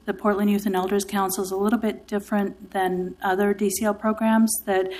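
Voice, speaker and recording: A woman community advocate giving earnest, grateful testimony to city commissioners, with a sore throat, pausing often. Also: medium pitch, darkish tone, low-quality recording. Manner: grateful, earnest, speaks with pauses and fillers. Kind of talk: public testimony at a city meeting.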